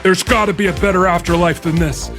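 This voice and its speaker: A man's voice: high-pitched, steady tone, fairly quick, close to an everyday voice. A male actor playing a blunt, indignant, exasperated traveler in a comic afterlife audio drama, answering curtly. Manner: indignant, curt. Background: music.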